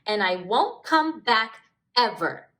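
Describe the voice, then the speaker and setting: A woman's voice: on the high side, very animated, slow, pushed and bright, pitched above the performer's own voice, heavily acted. A woman voicing a grumpy, stubborn young female animal in a children's storybook, with emphatic delivery. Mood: rude, angry.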